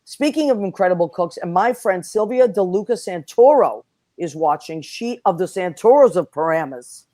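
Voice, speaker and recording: An effusive woman hosting a casual online talk show, chatting away and calling out viewers by name. Her voice sits on the low side and sounds dry and close, with no room around it. Italian was her first language. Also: lively intonation, moderate pace, dark tone, no echo. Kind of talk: casual chat.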